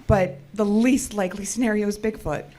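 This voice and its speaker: A woman's voice: mid-range voice, steady tone, fairly quick, dark tone, close to an everyday voice. A woman acting the part of a practical, skeptical technician in an audio drama, with a dry, matter-of-fact delivery. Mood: guarded, skeptical. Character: practical, skeptical, dry-witted female cell tower technician. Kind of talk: naturalistic audio drama dialogue.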